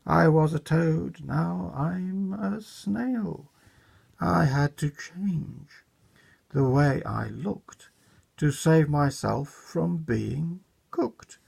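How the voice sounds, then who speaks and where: Storybook giant snail, unbothered and sure of himself, dark husky tone, put on by the reader. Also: mid-range voice, drawn-out words, heavily acted. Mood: serene. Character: unflappable enormous magic snail.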